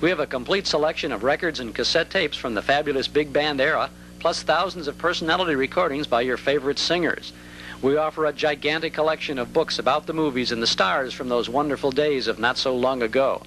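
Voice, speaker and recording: A man reading scripted advertising copy as a commercial announcer in a polished, measured way. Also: on the high side, a bit bright, slight background noise, poor audio.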